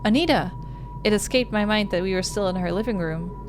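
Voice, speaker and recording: A woman's voice: medium pitch, steady tone, fast. A woman hosting a gaming video, reading a visual novel aloud as dramatic narration. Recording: noise behind the voice.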